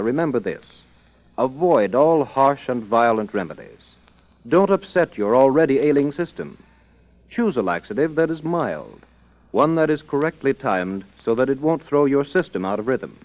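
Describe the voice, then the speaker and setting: Male vintage radio pitchman, very animated, emphatic scripted ad read, slightly dull recording. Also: no room sound. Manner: emphatic, deliberate sales delivery. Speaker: radio announcer. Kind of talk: scripted 1930s-style radio advertisement. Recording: muffled old radio recording.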